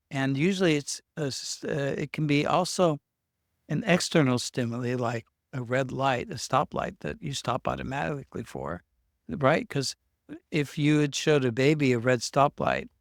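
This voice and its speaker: A man's voice: mid-range voice, gravelly, dry recording, studio quality. A man talking through ideas on worry in a loose, rambling way, often restarting words mid-sentence. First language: English. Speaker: self-help video host. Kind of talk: unscripted explanatory talk. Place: Norway.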